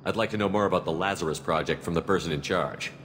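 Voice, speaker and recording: Casting: a principled, blunt commander for a game, quick delivery, very low voice, reverberant sound. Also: male voice, a bit bright, slight background noise. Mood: direct, businesslike. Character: firm, direct military commander, wary and principled. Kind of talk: video game voice acting.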